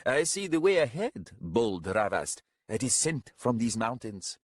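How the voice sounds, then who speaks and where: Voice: medium pitch, sing-song, a little slow, no echo, clean studio sound, voice pushed up. A man voicing a pompous older warrior, a dramatic and mocking doomsayer with florid, wailing archaic speech in a story.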